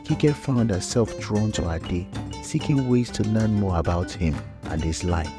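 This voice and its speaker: A man's voice: lowish voice, unhurried, music in the background. A man narrating a scripted folktale as the storyteller.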